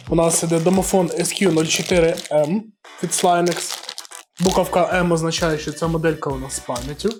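A man's voice: a little high, darkish tone. A man presenting a product review in a casual, explanatory walkthrough style, with music playing under his voice. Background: background music.

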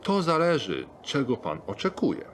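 A man plays a courteous locksmith shopkeeper in a dark fantasy audio drama. His pitch is middling and swings in a lively way as he politely pushes his wares. A faint bed of noisy ambience sits behind him. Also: unhurried. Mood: businesslike. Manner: courteous, businesslike, eager to please. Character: polite, sales-minded male locksmith shopkeeper.

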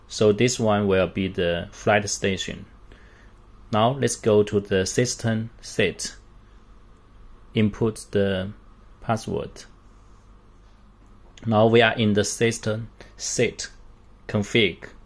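Guy doing a slow product demo, lowish voice, choppy phrases, non-native english. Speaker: product demonstrator. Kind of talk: unscripted product walkthrough.

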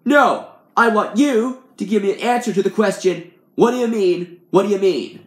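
A man voicing a big, mean, easily confused thug in a comic story, loud and exasperated. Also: medium pitch, very animated, a little fast. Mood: threatening. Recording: roomy, echoing room sound.

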